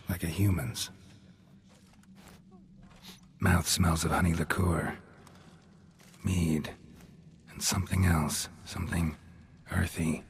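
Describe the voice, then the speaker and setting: An actor playing a gruff, laconic monster hunter in a fantasy video game, gravelly and deadpan, speaking in clipped, understated phrases. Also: male voice, deep voice, a little hiss or hum, exaggerated voice. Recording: game music behind the voice.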